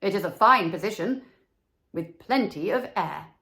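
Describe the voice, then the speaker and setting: A woman's voice: mid-range voice, expressive, unhurried, gravelly, pushed and bright, full character voice. A woman voicing a small, self-assured male swallow in a storybook, with a declarative, self-important manner. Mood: self-satisfied. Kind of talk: storybook character voice.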